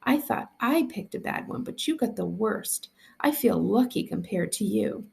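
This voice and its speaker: Storybook schoolgirl, read by a woman: chatty, smugly sympathetic, strong vocal fry. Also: mid-range voice, animated, normal speed, darkish tone. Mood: pitying, relieved. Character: relieved schoolgirl offering smug sympathy. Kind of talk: storybook dialogue read aloud.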